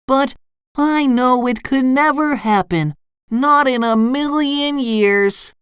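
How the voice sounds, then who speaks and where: A woman voicing an excitable, naive young male sea sponge from a cartoon, eager and wide-eyed, in a children's storybook. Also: high-pitched, slow pace, smooth, dry recording, clean studio sound, voice pushed up. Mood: dreamy. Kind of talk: children's storybook character reading.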